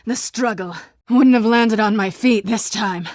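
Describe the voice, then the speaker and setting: A woman's voice: a little high, unhurried, a little mellow, no echo, clean studio sound, lightly acted. An actress voicing a fearless female cat burglar in a video game, playful, flirtatious and taunting. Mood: teasing, flirtatious.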